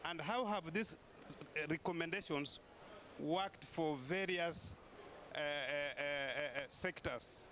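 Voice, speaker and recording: A man putting a formal question to a panel, with hesitant, drawn-out phrasing. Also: fairly high pitch, sharp tone, slight background noise. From East Africa. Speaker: audience member questioning a panel.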